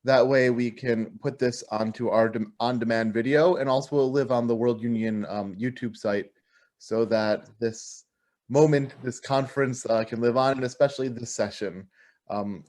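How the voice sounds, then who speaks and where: Man hosting with many fillers. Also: medium pitch. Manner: warm, rambling, many fillers. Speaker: conference host. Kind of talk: casual introduction. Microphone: video call.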